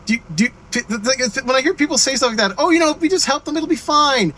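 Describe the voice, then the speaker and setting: Easygoing man, lively pitch. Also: fast, a little hiss or hum. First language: Swedish. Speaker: co-host playing a game. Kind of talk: casual gaming chat.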